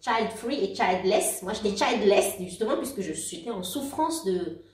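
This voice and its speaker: A woman in her forties speaking as a guest in a casual interview, warm and halting, often restarting and laughing softly. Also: mid-range voice, lively intonation. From outside Belgium. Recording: roomy, distant mic.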